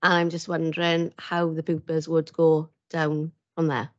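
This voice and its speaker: A woman's voice: low voice, monotone, normal speed, dull audio. A woman councillor asking a tentative question at a council meeting over a video call.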